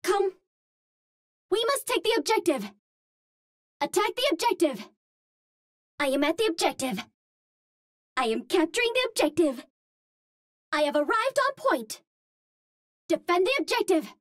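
A woman's voice: very high voice, big pitch swings, unhurried, long pauses, dry recording, studio quality, heavily acted. An actress voicing a cute, earnest young Martian space heroine in a video game, with a light girlish voice and precise, formal wording. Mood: urgent, determined. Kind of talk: video game hero voice lines.

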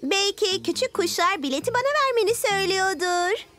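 A woman's voice: very high voice, animated, fairly quick, over music. A woman voicing an eager, plucky young owl-girl superhero in a children's cartoon dub. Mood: coaxing.

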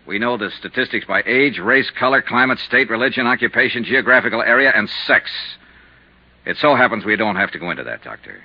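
A man's voice: on the low side, lively intonation, a little fast, husky. An American man acting a tough, persistent insurance investigator in a 1950s radio detective drama, clipped and probing. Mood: firm. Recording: muffled lo-fi 1950s radio recording.

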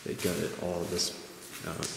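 A young man with a low voice talks very slowly and casually, in loose, sweary slang. He sounds like an explorer reacting aloud on a handheld camera, in echoey abandoned rooms with noticeable noise. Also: completely flat delivery, gravelly. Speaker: urban explorer and vlogger. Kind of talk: casual exploring vlog chat. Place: inside large abandoned hospital building. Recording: echoey empty building, noticeable background noise.

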